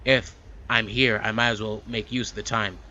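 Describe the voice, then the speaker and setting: A man's voice: lowish voice, level tone, normal speed, sharp tone, highs cut off, slight background noise. A man hosting a let's play, reading a visual novel aloud and adding casual commentary. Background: game music.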